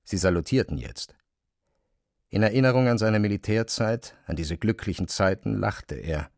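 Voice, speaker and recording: A man's voice: lowish voice, animated, moderate pace, a little mellow, dry recording, studio quality. A man narrating literary prose as the storyteller of an audio drama.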